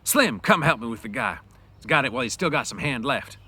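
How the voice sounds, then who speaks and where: A man's voice: mid-range voice, animated, a little fast, sharp tone, breathy edge, no echo, voice pushed up. A man voicing an urgent, protective ranch hand who speaks insistently in a raised voice, in a storybook reading. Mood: urgent shouting.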